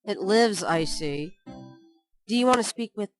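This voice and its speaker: An actress playing a sharp-tongued young woman who works in a bookshop, teasing and sarcastic in a studio adventure game. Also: medium pitch, animated, unhurried, natural voice. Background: game music.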